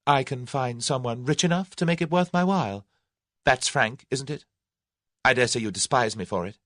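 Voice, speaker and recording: A man's voice: medium pitch, moderate pace, a bit bright, dry recording, studio quality, voice pushed up, close to an everyday voice. A man voicing a plucky, proud young English woman in an audiobook, earnest and exclamatory. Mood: guarded, flustered. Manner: earnest, exclamatory, self-scolding. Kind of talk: audiobook character voice.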